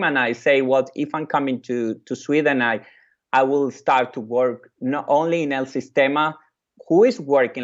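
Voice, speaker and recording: A man from Venezuela, a native Spanish speaker with a Venezuelan accent, giving a keynote speech with personal stories in a halting way, repeating phrases and pausing. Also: fairly high pitch, highs cut off. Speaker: keynote speaker, music educator and orchestra leader.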